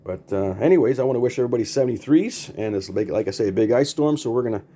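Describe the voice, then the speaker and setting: A man's voice: mid-range voice, expressive, very fast, dark tone. An older man giving a casual, unscripted hands-on demonstration in a rambling, repetitive, plain-spoken way, like a hobbyist showing off a homemade project. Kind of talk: casual unscripted show-and-tell.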